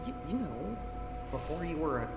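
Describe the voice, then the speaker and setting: A jittery engineer in a video game, high-voiced and stammering, his voice leaping all over the place as he fusses. Roomy game audio with a long reverb tail and clear music behind him. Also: moderate pace. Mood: flustered. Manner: flustered, stammering, high and excitable. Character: nervous male engineer, jittery and talkative.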